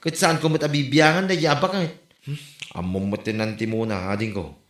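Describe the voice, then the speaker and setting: A man's voice: mid-range voice, fairly even intonation, brisk, a bit bright, faint music behind, lightly acted. A man with an Ilocano accent acting as an aggrieved, protective older sibling in a comedy drama. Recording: roomy, echoing room sound.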